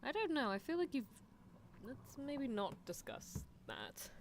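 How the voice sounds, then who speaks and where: A woman's voice: high-pitched, huge pitch swings, a little slow, exaggerated voice. Woman playing a teasing British mad scientist girl, noisy background. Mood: dry, coaxing. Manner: teasing, softly coaxing, clinical asides. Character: playful, bossy British female mad scientist horse-girl. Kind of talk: ASMR roleplay livestream in character.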